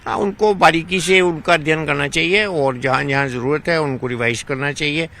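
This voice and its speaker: A man's voice: a little high, normal speed, bright, forceful tone. A man, a doctor, explaining his advice in a news interview. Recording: noisy location interview, faint ambience.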